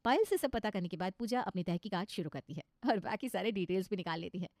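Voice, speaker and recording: A woman's voice: medium pitch, expressive, quick pace, darkish tone, no echo, clean studio sound. A woman narrating a scripted cartoon story as its storyteller.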